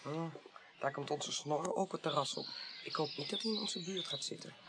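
A woman acting in a Dutch radio play as a businesslike, sharp investigator who speaks in a measured, orderly way. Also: low-pitched. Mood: discreet, curious. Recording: faintly noisy 1980s radio drama.

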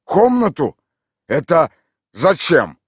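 A man voicing a hard-drinking village man who drawls and blusters loudly. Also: medium pitch, sing-song, a little slow, no echo, studio quality, voice pushed up. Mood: rowdy.

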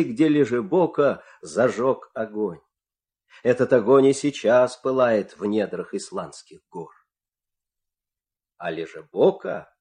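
A man narrating a fairy tale dramatically, expressive, with measured pauses. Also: medium pitch.

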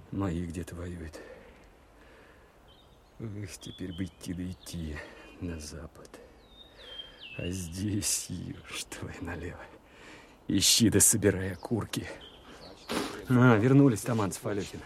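Watchful, earnest army man, low register, confiding radio-play delivery, clear background noise. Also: steady tone, takes it slowly, long pauses. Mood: urgent, excited. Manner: plain, colloquial, confiding. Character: earnest, watchful soldier recounting his wartime manhunt. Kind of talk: first-person radio play narration.

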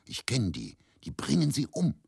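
A man's voice: mid-range voice, huge pitch swings, normal speed, creaky, a little mellow, husky, no echo, clean studio sound, voice pushed up, heavily acted. An actor playing an agitated man who urgently warns a stranger in a hasty, pressing, blunt way. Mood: urgent, alarmed.

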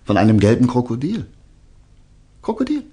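An actor playing a self-assured male detective in a German radio drama, teasing out his revelations with deliberate, suspenseful storytelling. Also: mid-range voice, wildly swooping pitch, mellow, husky. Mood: theatrical, teasing.

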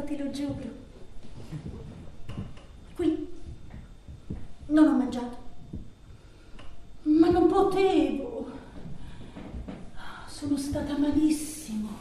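An actress playing a desperate, abandoned lover pleading on the telephone in a theatrical monologue, halting and repetitive, swinging between hushed and anguished. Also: female voice, high voice, full character voice. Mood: subdued, confessing. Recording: very echoey, distant stage recording, noisy.

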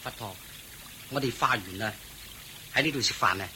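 A man playing an eager, excitable disciple monk in a Cantonese radio drama. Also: mid-range voice, normal speed, close to an everyday voice. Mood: deferential. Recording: noisy radio drama with water ambience.

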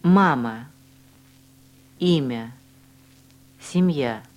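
A woman reading language-course drills and dialogues with slow, deliberate, carefully enunciated speech. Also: very deep voice, animated, darkish tone. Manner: clear, deliberate articulation of each word.

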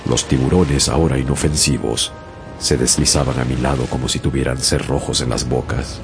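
An actor narrating as a young sailor who survived a shipwreck, in grave, measured storytelling. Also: male voice, a bass voice, fairly even intonation. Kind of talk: dramatic audiobook narration. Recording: loud music bed under narration.

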